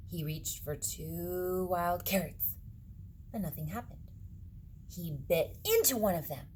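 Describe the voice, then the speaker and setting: Animated woman reading to children, slow and dramatic. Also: on the low side, slight background noise. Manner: dramatic, dropping into hushed suspenseful whispers. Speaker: read-aloud storyteller for children. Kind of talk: expressive picture-book reading with asides to young listeners.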